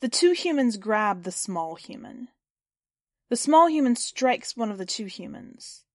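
A woman's voice: on the high side, sing-song, measured pace, dry recording. Woman reading fiction, dry close sound. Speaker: podcast host and story reader. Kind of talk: scripted story narration and podcast hosting.

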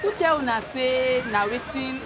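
An actress playing a sensible, calming Nigerian woman, measured and reasoning in a Pidgin radio drama. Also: high voice, lightly acted. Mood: chiding.

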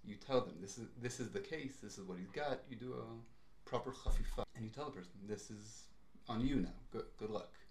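A young man talks in a measured, reflective way, pausing to weigh his words. His voice sits fairly low, and the recording carries a noticeable room echo. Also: a little mellow, slight background noise. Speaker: podcast co-host. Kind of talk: casual chat. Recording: roomy echo.

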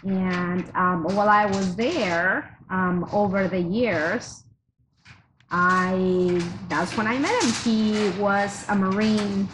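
A woman in her forties from Mexico, a teacher, talking through her background in a measured way with many fillers. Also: medium pitch. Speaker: teacher, interview guest. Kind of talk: casual interview. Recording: noisy background hiss.

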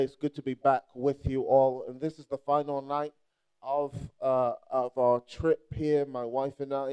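A man's voice: medium pitch, mellow, clear, resonant tone. A young adult man from Nigeria preaching a playful, charismatic sermon and rousing the crowd with call-and-response.

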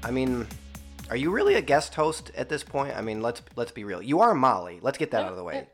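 A man in his thirties hosting a casual podcast, hoarse and raspy from a cold, rambling and stopping to start over. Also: mid-range voice, very animated, brisk, faint music behind. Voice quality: froggy, raspy. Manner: rambling, self-interrupting, laughs often. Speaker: podcast host. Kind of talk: casual chat.

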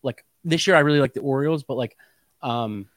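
A man's voice: medium pitch, brisk, no echo. A young American man co-hosting a sports podcast in casual chat, often repeating himself for emphasis.

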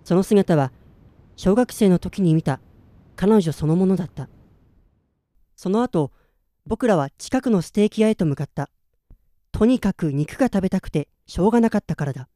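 A man's voice: high voice, lively intonation, normal speed, pauses often, mellow, no echo, studio quality. An actor playing a listless, self-doubting man in his twenties in a radio drama, plain-spoken in narration and dialogue. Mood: reflective, subdued. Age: 20s.

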